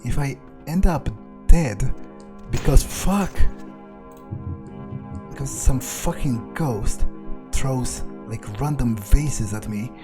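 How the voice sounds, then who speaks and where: A man doing casual, foul-mouthed in-character game commentary, muttering his thoughts aloud as he plays. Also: medium pitch. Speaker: gaming streamer, let's player. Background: video game music.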